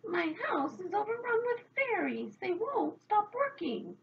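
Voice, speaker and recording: A woman voicing a grumbling, exasperated little old woman with a whiny, drawn-out way of complaining. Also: very high-pitched, big pitch swings, creaky, highs cut off, a little hiss or hum, pitched above the performer's own voice, full character voice. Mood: flustered.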